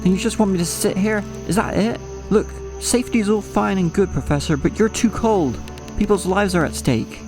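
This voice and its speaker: A man's voice: sits high, moderate pace, few pauses. An actor playing an anxious, impulsive man in a horror audio drama, speaking with dramatic intensity. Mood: urgent, defiant. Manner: urgent, dramatic. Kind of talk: horror audio drama dialogue and first-person narration. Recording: music behind the voice.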